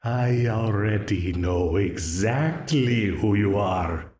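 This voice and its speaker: Man playing a demon dealmaker, smug and menacing, slow drawling speech, lively rising tone, echoey room. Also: lowish voice. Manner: mocking, condescending, laughs often. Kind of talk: ASMR audio roleplay.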